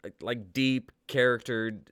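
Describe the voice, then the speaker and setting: A young man giving casual, halting feedback with some swearing. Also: mid-range voice, steady tone, a bit bright, clear, resonant tone, dry recording, clean studio sound. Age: young adult.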